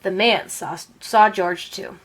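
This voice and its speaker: A woman's voice: mid-range voice, fairly even intonation, takes it slowly, a bit bright. A woman reading a children's story aloud expressively, drawing out key words for dramatic emphasis. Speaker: storybook reader.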